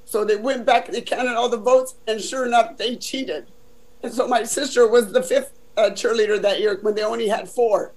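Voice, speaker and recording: An older woman from Southern California with a deep voice, telling her life story in a casual, chatty interview full of "you know" fillers. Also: fairly even intonation, normal speed, darkish tone, rough recording.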